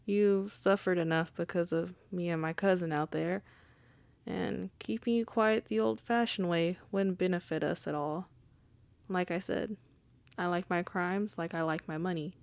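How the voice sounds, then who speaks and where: A woman's voice: lowish voice, fairly even intonation, a little slow, gravelly, a little mellow, clear, resonant tone, dry recording. A woman playing a wry, charming female mafia boss in an intimate ASMR roleplay, conversational and teasing. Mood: apologetic, reassuring. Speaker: audio roleplay voice actor.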